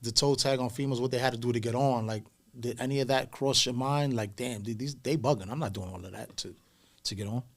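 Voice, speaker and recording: A man's voice: mid-range voice, quick pace. A man interviewing casually, feeling his way through rambling, slangy questions.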